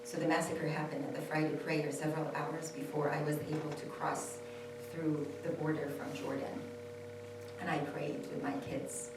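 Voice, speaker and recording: Low-voiced woman, echoey hall. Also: flat delivery, moderate pace, recorded from a distance, heavy background noise. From Saudi Arabia. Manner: earnest, repeats phrases for stress. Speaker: panelist. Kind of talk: personal testimony at a panel. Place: temple hall.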